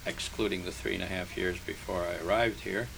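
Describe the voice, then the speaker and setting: An older man speaks in a low, gravelly voice with a flat, even delivery, pausing often and filling gaps as he searches his memory. The noisy archival tape hisses clearly behind him. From Hungary, raised in New Jersey. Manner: slow, halting, many pauses and fillers. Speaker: interviewee. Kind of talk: oral history interview.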